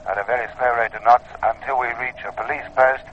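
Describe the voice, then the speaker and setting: A man's voice: medium pitch, fairly even intonation, fast talker. A man on an expedition giving an unscripted, matter-of-fact, explanatory account on location. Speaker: expedition member. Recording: thin phone-like field recording, faint noise.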